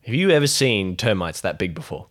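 Animated male tabletop roleplayer. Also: lowish voice, fairly quick. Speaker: player in a tabletop roleplay show. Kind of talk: casual in-character banter.

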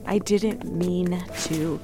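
Low-voiced hurt woman played by an actress. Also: fairly even intonation, very slow. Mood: hesitant, hurt. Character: hurt, quietly challenging woman, a ship's cook. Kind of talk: audio drama acting. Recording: music under the voice.

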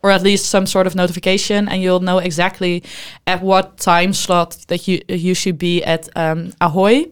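A woman's voice: lowish voice, normal speed, few pauses, clean tone. A woman in her twenties, a podcast co-host and student, talking casually with many fillers and easy laughs. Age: 20s. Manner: laughs easily, filler-heavy, relaxed. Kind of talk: casual chat.

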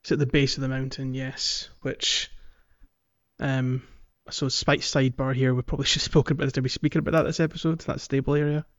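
A man co-hosting a podcast, chatting casually about a video game in a rambling, halting, jokey way. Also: mid-range voice, flat delivery. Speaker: podcast co-host. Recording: dull lo-fi audio, roomy echo.

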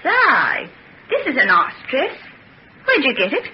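A woman's voice: high voice, huge pitch swings, a little slow, exaggerated voice. An actress playing a wary, streetwise woman thief in an old-time radio serial, blunt, slangy and suspicious. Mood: impressed. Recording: muffled, noisy old radio recording.

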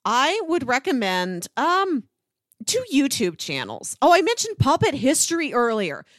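A woman's voice: high voice, huge pitch swings, unhurried, a bit bright, no room sound, studio quality. A woman co-hosting a podcast, talking in a casual movie review chat. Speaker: podcast co-host.

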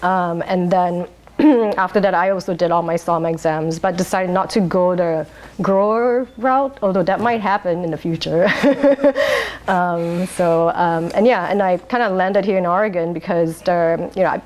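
A woman's voice: lowish voice, normal speed, a little mellow. Chatty woman who laughs a lot. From Singapore. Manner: chatty and rambling, laughs often, many um's and restarts. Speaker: interviewee, wine bar owner. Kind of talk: oral history interview.

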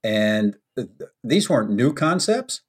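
An American man, a podcast guest, telling stories in an unhurried, anecdotal way with frequent ums. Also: medium pitch, big pitch swings, husky. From United States. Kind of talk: storytelling in a podcast interview.

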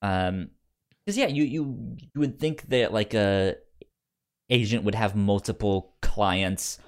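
A man's voice: lowish voice, slow pace. A man co-hosting a casual book discussion podcast, speaking hesitantly and often stammering over his words. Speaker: podcast co-host.

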